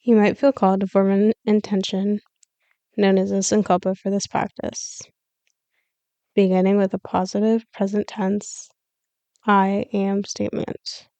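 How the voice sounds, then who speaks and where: A woman leading a scripted guided meditation as a yoga nidra teacher, giving calm, soothing, measured instructions with pauses. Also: mid-range voice, smooth, dry recording.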